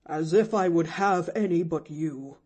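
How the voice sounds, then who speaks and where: Woman doing a lonely older man, storybook figure, low, slow, gentle, deep with emotion, dark tone. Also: slightly rough, full character voice. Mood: hurt.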